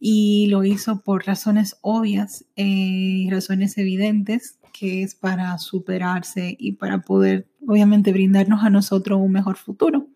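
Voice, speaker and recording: A woman in her thirties shares her own life story on a podcast she records at home. Her voice is mellow and warm, at an ordinary middle pitch. She talks intimately and reflectively, often pausing on a soft "eh". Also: flat delivery. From United States. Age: 30s. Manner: intimate, reflective, frequent "eh" pauses. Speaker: podcast host. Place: small home apartment. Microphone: studio microphone.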